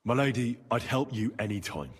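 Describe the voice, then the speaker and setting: An actor playing a plain-spoken, eager young medieval man-at-arms in a video game, husky, earnest and gallant. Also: mid-range voice, animated, moderate pace, big-room sound. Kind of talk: scripted game dialogue.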